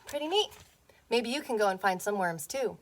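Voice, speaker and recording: A woman teacher reading a picture book aloud to young children, playful and expressive, often putting questions to them. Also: medium pitch, moderate pace, a little hiss or hum.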